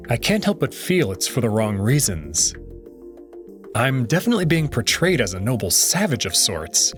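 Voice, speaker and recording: A man hosting a let's play channel, reading a visual novel aloud with wry, expressive delivery. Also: medium pitch.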